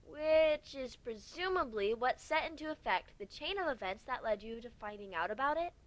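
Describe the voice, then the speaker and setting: A man acts out a doubtful pony princess from a fantasy fan story. The voice is high and feminine, measured yet swinging widely in pitch as she questions. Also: animated, a bit bright, poor audio. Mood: incredulous. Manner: incredulous, measured. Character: skeptical pony princess, female, summing things up.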